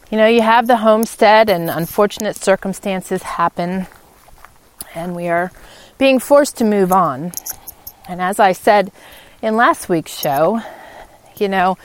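A woman hosts a casual, reflective podcast while out walking. She speaks slowly in a medium-pitched voice, often halting mid-sentence to find her next thought. The delivery is unscripted and personal. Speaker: podcast host. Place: outdoors on a walk, in wind.

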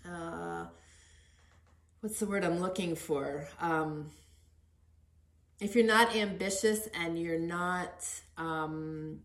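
Middle-aged woman, lowish voice, echoey room. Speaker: podcast host interviewing her son. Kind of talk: casual conversational interview.

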